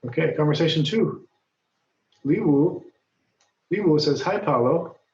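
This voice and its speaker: A man teaching an English grammar lesson, speaking slowly and deliberately, and raising his voice when he reads example dialogues aloud. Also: on the high side, lively intonation, darkish tone. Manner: patient, deliberate, lifts his voice when reading quoted lines. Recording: dull, roomy sound from a distance.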